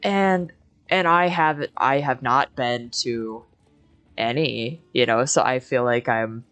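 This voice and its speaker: A woman's voice: very deep voice, slow pace, fairly bright tone, faint music behind. A woman chatting informally and casually while playing a game on a livestream.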